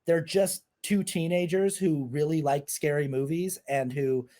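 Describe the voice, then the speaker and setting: A man with a fairly high voice and a soft, mellow tone hosts a film podcast. He talks casually and feels his way through thoughts, restarting and correcting himself as he goes. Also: steady tone, measured pace.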